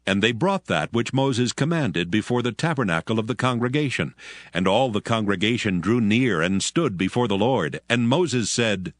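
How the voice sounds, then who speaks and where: A man narrating scripture from a script in a solemn manner, with measured phrasing. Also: fairly low pitch, expressive, dry recording. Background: music.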